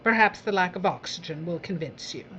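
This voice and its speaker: A woman's voice: fairly low pitch, animated, a little fast, sharp tone, muffled recording, a little hiss or hum. A woman playing a breezy, callous female television boss in an audio drama, theatrical and drawling, with condescending endearments. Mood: coolly callous.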